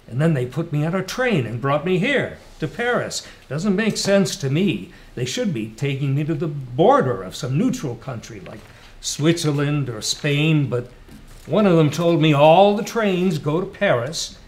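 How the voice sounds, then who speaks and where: A man voicing a cheerful young British pilot with a British accent, chatty and breezy, in a storybook reading. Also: on the high side.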